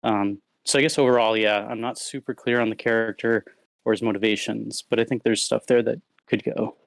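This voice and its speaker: A man's voice: on the low side, steady tone, a little fast, gravelly, no echo. A man giving casual spoken critique as a participant, in a diplomatic, hedging manner, on an online meeting microphone. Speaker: participant giving script feedback.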